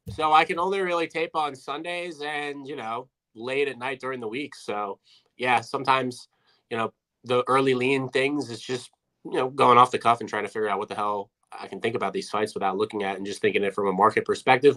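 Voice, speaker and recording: A man's voice: mid-range voice, fairly quick, sharp tone. A man in his twenties co-hosting a casual betting podcast with self-deprecating, wry humor. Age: 20s. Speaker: podcast co-host.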